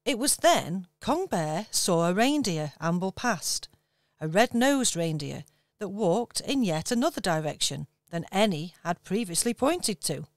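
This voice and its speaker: A woman narrating a children's radio pantomime in clear, steady storytelling. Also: on the low side, unhurried, no room sound, studio quality.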